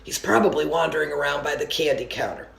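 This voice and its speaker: A woman reading as a calm, practical father with a low-key, matter-of-fact manner. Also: fast, creaky, echoey room, full character voice. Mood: calm, firm.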